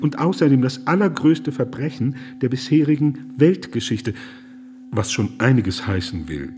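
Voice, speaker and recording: A man in a radio drama playing an earnest German pastor who argues gravely, formally and with emphatic indignation. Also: mid-range voice, animated, normal speed, lightly acted. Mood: indignant, urgent.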